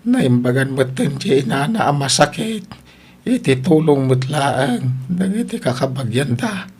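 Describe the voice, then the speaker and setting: Casting: male actor as gentle old father in radio drama, husky, widely swinging pitch. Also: sing-song, measured pace, exaggerated voice. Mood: grave. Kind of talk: Ilocano audio drama acting.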